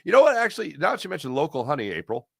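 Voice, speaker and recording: A man's voice: fairly high pitch, huge pitch swings, racing, creaky, slightly rough, dry recording, clean studio sound. An American man hosting a sports talk livestream, chatting casually and emphatically, often repeating phrases to make his point. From Pennsylvania, United States. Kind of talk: casual sports commentary and chat with viewers.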